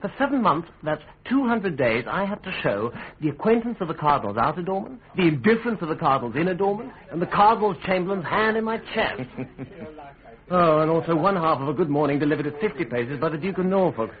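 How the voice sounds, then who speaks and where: High-pitched, aggrieved young office-seeker. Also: male voice, sing-song, fast talker. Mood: aggrieved, bitter. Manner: hesitant, stammering, aggrieved. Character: needy, cynical, ambitious young male office-seeker. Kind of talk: British radio drama acting. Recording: tinny band-limited radio recording.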